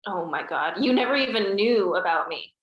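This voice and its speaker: A woman's voice: medium pitch, animated, normal speed, muffled recording, lightly acted. A woman reading the part of a young woman who feels betrayed, in an emotional, accusing way at a table read. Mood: hurt, accusing. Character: young woman, hurt and confronting her family. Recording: roomy echo.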